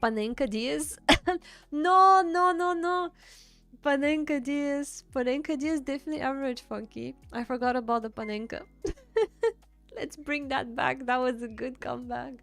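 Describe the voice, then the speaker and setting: Young woman streamer, chatty and upbeat, slightly high pitch with a gravelly edge. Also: fairly bright tone, no echo, faint music behind. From Bulgaria. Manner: chatty, reads and answers chat, quick laughs. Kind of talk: casual livestream chat with viewers.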